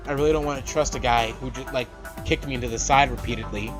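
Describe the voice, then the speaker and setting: A man hosting a let's play, reading a visual novel aloud with animated, slangy casual asides. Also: medium pitch, quick pace. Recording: loud background music. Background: game music.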